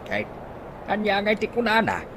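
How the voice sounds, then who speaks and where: A man acting an older male relative with a husky voice in an Ilocano Filipino radio comedy drama. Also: high-pitched, huge pitch swings, measured pace, a bit bright, a little hiss or hum, full character voice. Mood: sympathetic.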